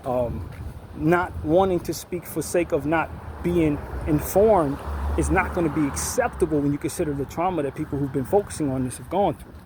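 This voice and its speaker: A man giving a measured, deliberate opinion monologue as an online commentator, stressing words emphatically and often restarting his sentences. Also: fairly high pitch, background noise.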